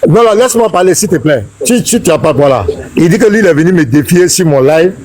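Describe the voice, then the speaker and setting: Man, high animated voice, insistent debater, faint background noise. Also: fast talker. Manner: insistent, challenging, talks over others. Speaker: pastor in an online religious debate. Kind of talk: heated live-stream debate.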